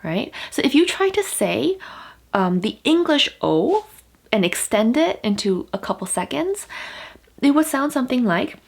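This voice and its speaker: A woman's voice: sits high, big pitch swings, a little slow, creaky. A young woman whose first language is Korean, giving a casual pronunciation lesson to the camera. She explains patiently, with fillers and restarts, and draws out vowel sounds to demonstrate them.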